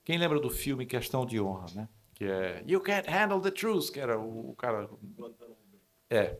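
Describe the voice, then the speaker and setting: Man with a gravelly voice telling a story. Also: mid-range voice, very animated, normal speed. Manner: storytelling, conversational. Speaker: panelist, advertising professional. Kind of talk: live panel discussion. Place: stage at a public event.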